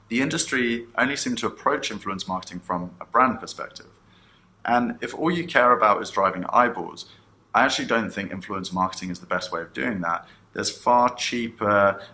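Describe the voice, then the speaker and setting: A man with a low-pitched, bright and forceful voice presents like a company founder announcing a product. His delivery is rehearsed, with emphatic sentence openings that tail off softly at the ends.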